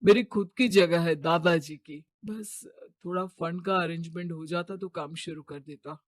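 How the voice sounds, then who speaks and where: A man's voice: a little high, fairly even intonation, measured pace. A man voicing an earnest, respectful young suitor of modest means in a cartoon moral story. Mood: earnest pleading.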